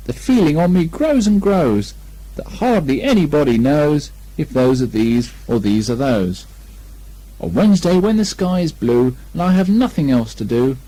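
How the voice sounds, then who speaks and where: A man voicing a good-natured, slow-witted bear from a children's storybook, hesitant and earnest. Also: a little high, mellow, pitched above the performer's own voice, low-quality recording. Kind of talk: children's storybook character reading.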